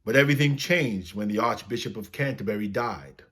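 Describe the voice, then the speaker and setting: A man reading a children's story aloud as a storyteller, with expressive, dramatic phrasing. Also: fairly low pitch, brisk, slightly rough.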